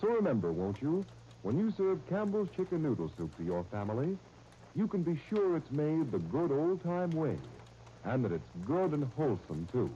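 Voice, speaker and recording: An American man announcing on 1930s radio, narrating and pitching the sponsor in a polished, folksy, persuasive way. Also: big pitch swings, normal speed, dark tone, slight background noise. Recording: muffled 1930s radio recording.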